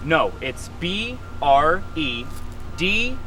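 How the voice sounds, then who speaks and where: A man acts out a competitive, easily flustered young office worker in a comedy film. His voice sits high for a man and swings widely in pitch. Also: very animated, extremely slow, sharp tone, a little hiss or hum, exaggerated voice. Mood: awkward, polite. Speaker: actor in a short film.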